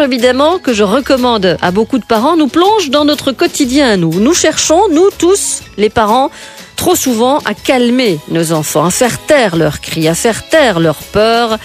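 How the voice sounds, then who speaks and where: A woman with a Belgian French accent hosting a radio interview in a studio, quick and lively, laughing easily. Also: fairly high pitch. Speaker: radio host. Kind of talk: warm radio interview chat.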